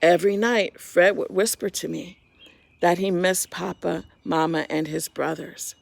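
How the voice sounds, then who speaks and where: A woman reading a children's story aloud in an expressive, simple storytime manner, talking directly to young listeners. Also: on the low side, measured pace, gravelly.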